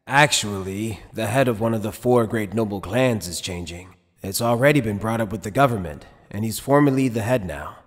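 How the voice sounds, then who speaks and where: A man playing an easygoing but shrewd middle-aged head captain in an anime fan dub, speaking in a measured, wry, casual way. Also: fairly low pitch, close to an everyday voice. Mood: unhurried. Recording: long hall reverb.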